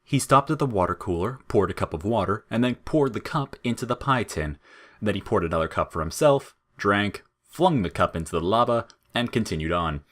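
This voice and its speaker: Low-voiced man narrating fiction. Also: lively intonation, brisk, fairly bright tone.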